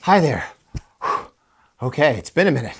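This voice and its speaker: A man's voice: fairly high pitch, sing-song, moderate pace, fairly bright tone, reverberant. A man presenting to camera as a playful, self-aware museum education host.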